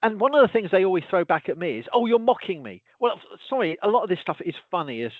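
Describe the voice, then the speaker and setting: A man chatting casually as a host, with a fairly high voice. He talks in an animated, self-interrupting way, with exasperated asides. The audio is dry and a little dull, with no room around it. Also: fast, dry recording. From lives in Wales. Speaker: podcast host, archaeology professor. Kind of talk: casual conversation.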